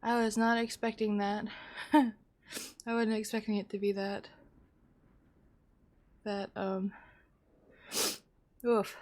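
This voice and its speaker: A woman's voice: mid-range voice, little pitch movement, slow, long pauses, darkish tone. A woman giving casual, emotive live commentary while streaming games, with sighs and folksy mild exclamations.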